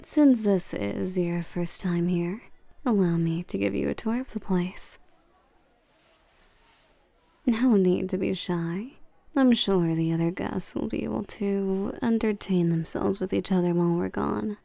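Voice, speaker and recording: Woman doing a slow talking vampire queen for roleplay audio, mellow n commanding, flirty menace, super dry close mic. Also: mid-range voice, gravelly, no echo. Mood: gracious, flirty. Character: regal, seductive, domineering vampire queen. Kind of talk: scripted roleplay audio drama, addressing the listener.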